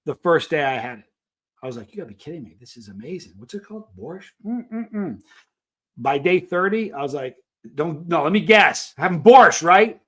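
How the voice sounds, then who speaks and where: Middle-aged male streamer, voice a little on the high side, informal chat with viewers, animated anecdote teller. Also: moderate pace. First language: English. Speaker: live stream host. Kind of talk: casual chat answering live viewers, telling travel anecdotes.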